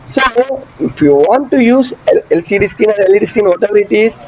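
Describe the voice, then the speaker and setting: A man giving an online engineering lecture, repeating key phrases slowly and often asking students if they follow. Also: high voice, animated, a little mellow. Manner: asks students frequent check questions. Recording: dull muffled audio with traffic noise behind.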